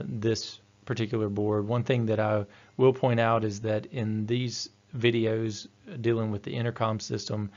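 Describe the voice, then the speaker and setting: A man's voice: on the low side, a little mellow. A man giving an instructional technical presentation in a methodical, explanatory manner. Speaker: technical trainer. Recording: dull, lo-fi voice-over audio.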